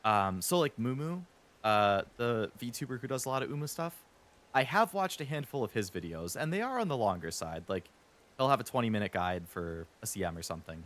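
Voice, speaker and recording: A man streaming a game, chatting casually and rambling a bit, stuttering now and then when he talks fast. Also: medium pitch, sing-song, fairly bright tone. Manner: rambling, chatty, lots of fillers.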